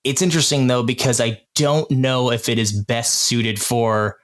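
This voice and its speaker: A man's voice: mid-range voice, steady tone, normal speed, fairly bright tone. A man on a podcast panel explaining his views in a thoughtful, casual way, like an experienced game master. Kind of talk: casual discussion.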